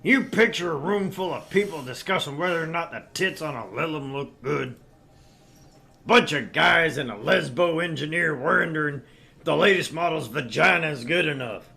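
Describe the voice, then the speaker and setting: Man acting a brash sleazy newsman, middle-aged, gravelly and forceful, pushy, swaggering, video game character. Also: fairly high pitch, moderate pace. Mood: crude, musing. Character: brash, crude, sleazy middle-aged male tabloid editor. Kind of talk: visual novel character read-through.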